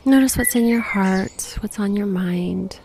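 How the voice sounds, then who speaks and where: A woman leads a guided meditation in a medium-pitched voice with noticeable creak. Her delivery is gentle, breathy and unhurried, at times close to a whisper, offering reflective prompts. Birdsong and faint natural noise sit behind her.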